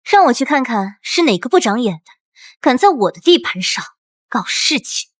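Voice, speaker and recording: High, animated cheeky princess. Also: female voice, moderate pace. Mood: mocking. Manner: brash, teasing. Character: bold, cheeky, protective princess in a fantasy world. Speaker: voice actor in a fantasy short drama. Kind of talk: dramatic drama acting.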